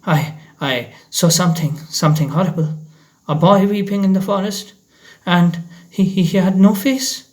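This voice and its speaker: A man's voice: high-pitched, normal speed, darkish tone, voice pushed up, close to an everyday voice. A man voicing a stern, urgent schoolteacher in a storybook reading. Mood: terrified, stammering. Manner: urgent, questioning, commanding. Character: stern schoolteacher, turning from sharp to frightened.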